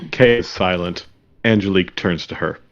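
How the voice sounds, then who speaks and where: A man narrates scripted stage directions in a deep voice. His delivery is deadpan. The recording is a little dull and lo-fi. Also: normal speed.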